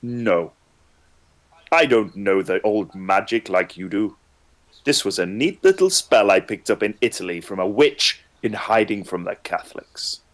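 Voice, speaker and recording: A man's voice: lowish voice, lively intonation, unhurried. A man voicing a possessed male fairy who has turned cold and threatening. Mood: menacing. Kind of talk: amateur online table read.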